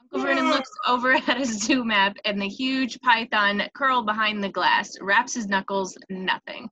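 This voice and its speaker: A woman's voice: mid-range voice, moderate pace, bright, forceful tone, lo-fi sound. A woman reading screenplay stage directions as narrator, with small stumbles, on a noisy home recording with clicks.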